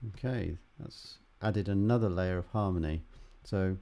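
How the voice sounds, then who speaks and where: A man's voice: sits low, measured pace, creaky. A man giving a casual tutorial as a music production instructor, with music behind him. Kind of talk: casual software tutorial narration. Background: guitar and vocal music track.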